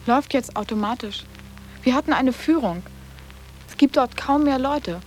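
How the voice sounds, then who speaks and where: A woman's voice: high-pitched, expressive, normal speed, darkish tone, soft background music, low-quality recording. An actress playing a sarcastic, challenging teenage schoolgirl in a literary radio drama, matter-of-fact and pointed.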